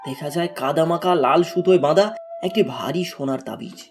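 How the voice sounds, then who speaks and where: A man's voice: a little high, brisk, soft background music. A man narrating a scripted moral story as a storybook narrator.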